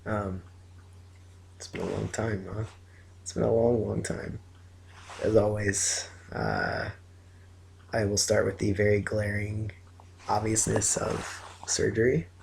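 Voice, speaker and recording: Groggy young man, scratchy gravelly voice. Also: on the low side, slow, pauses often, a little mellow. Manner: groggy, rambling, lots of fillers. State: scratchy voice after surgery. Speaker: vlogger. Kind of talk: casual chat to camera. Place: in bed at home.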